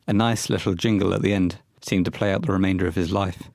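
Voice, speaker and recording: A man narrating a scripted audio horror play in a measured, literary storytelling manner. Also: low-pitched, fairly even intonation, noisy background.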